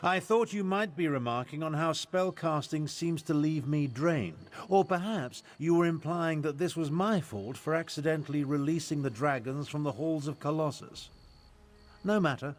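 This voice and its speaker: An actor playing a proud, touchy, dry-witted male spellcaster in a video game, speaking formally and deliberately. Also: fairly high pitch, natural voice. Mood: defensive, curt.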